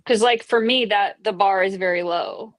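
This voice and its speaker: A woman with a mid-range voice talks things through in a relaxed group call, hedging as she goes. Her voice is close and dry on a computer mic. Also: medium pitch, moderate pace, no room sound. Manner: thoughtful, hedging with many fillers. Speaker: participant in an online group chat. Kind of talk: casual chat.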